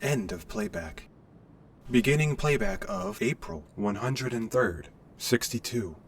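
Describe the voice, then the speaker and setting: A man's voice: mid-range voice, expressive, a little slow, far from the mic, slight background noise, lightly acted. A man voicing an impassive log playback machine in a sci-fi audio drama, announcing formally in clipped phrases.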